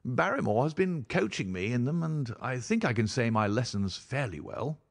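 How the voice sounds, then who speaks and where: A man's voice: mid-range voice, expressive, moderate pace, gravelly, no echo, clean studio sound, voice pushed up. A man voicing a genial, courteous baronet in an audiobook, hearty, frank and good-humoured. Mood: eager, genial. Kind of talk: audiobook character voice.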